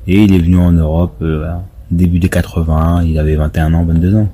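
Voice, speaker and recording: A man in his thirties, born in France, answering interview questions as a member of parliament, halting with many fillers. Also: low voice, little pitch movement, mellow, husky. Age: 30s. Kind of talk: casual interview talk.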